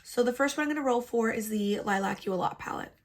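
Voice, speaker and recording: A woman's voice: on the high side, quick pace. A woman with a stuffy, congested nose, chatting casually and with self-deprecating humour through a makeup tutorial, recorded indoors at home on a laptop microphone. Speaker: beauty vlogger. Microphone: laptop built-in mic.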